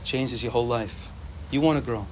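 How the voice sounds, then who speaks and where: A young man whose first language is Yiddish, giving an informal, animated Torah talk to students and repeating phrases for emphasis. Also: medium pitch, normal speed, slight background noise. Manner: animated, repetitive, sprinkles Hebrew and Yiddish terms.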